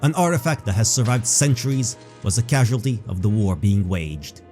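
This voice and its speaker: A man narrating a scripted fantasy story in a measured, dramatic storytelling manner. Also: fairly low pitch, expressive, warm tone, faint music behind.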